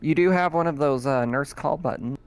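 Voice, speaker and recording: A man narrating as game master in a casual online tabletop session, relaxed and quick to laugh, with lots of ums and uhs. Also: on the high side, clear, resonant tone. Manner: relaxed, laughs often, many fillers.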